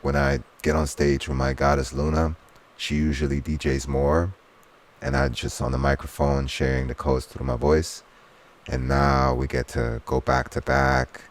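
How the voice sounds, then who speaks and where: A man from Switzerland whose first language is Swiss German, talking earnestly as an interview guest and using spiritual turns of phrase. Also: extremely low pitch. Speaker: guest, soul singer and DJ. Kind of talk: casual conversational interview.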